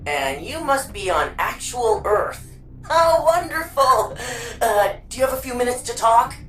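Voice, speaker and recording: A woman playing a chatty, curious and warm archivist in a sci-fi video game, with an informal, playful, flirty way of talking. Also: a little high, sing-song, unhurried, low-quality recording. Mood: excited, curious. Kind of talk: naturalistic video game dialogue.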